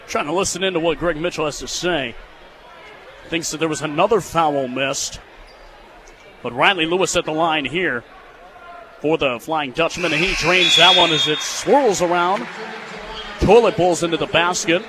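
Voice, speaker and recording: A young man with an American accent calls basketball play-by-play in a high-pitched voice. His phrasing is clipped and excitable, built for live radio. Clear venue noise and court sounds sit behind him. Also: moderate pace. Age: young adult.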